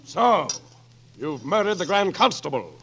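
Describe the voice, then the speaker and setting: A man's voice: high voice, big pitch swings, unhurried, fairly bright tone, breathy edge, full character voice. An actor in a 1940s radio drama playing a stern, officious captain of the king's archers, barking commands. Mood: commanding. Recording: very noisy vintage radio broadcast.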